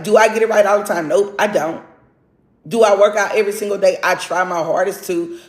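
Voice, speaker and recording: A woman's voice: mid-range voice, reverberant. A woman in her forties giving an earnest, faith-driven motivational talk, tagging her points with a questioning "right?". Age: 40s. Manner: keeps checking in with a questioning "right?". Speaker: motivational livestream host. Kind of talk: casual motivational monologue.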